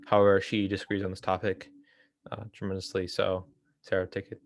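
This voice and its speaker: A man's voice: sits low, monotone, fairly quick. A man hosting an informal book discussion, explaining ideas in a hesitant way and often correcting himself. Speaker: host of a political theory read-along.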